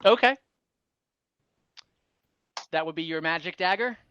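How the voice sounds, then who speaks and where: A man's voice: fairly high pitch, a little slow, a bit bright, smooth, no echo, lo-fi sound. A man running an online tabletop game as game master, informal and encouraging as he explains things.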